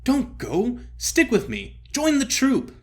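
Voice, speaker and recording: A man voicing an effusive, theatrical older stage comedian who cajoles and flatters grandly in an audio story. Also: very high voice, voice pushed up, full character voice. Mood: earnest pleading. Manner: emphatic, drawn-out address, grand pronouncements.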